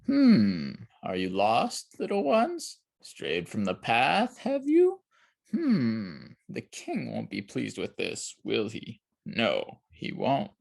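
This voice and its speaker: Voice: medium pitch, wildly swooping pitch, slow pace, creaky, a little mellow, exaggerated voice. A narrator voicing a sinister, sneering old man, a shadowy storybook villain who taunts and accuses with mocking questions.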